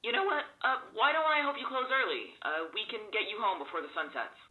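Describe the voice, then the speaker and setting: A man's voice: sits high, big pitch swings, fast talker, husky, narrow, tinny audio, exaggerated voice. A man playing a blunt, sarcastic, irritable friend in an audio drama. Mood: reluctantly helpful. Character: exasperated, sarcastic but helpful male friend. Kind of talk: naturalistic scripted audio drama dialogue.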